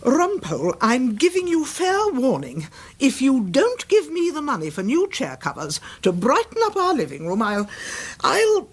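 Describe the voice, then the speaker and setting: Imperious wife with wildly swooping pitch. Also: female voice, huge pitch swings, measured pace, slightly rough, full character voice. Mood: indignant. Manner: imperious, exasperated. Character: imperious, nagging, genteel English barrister's wife. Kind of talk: radio drama dialogue.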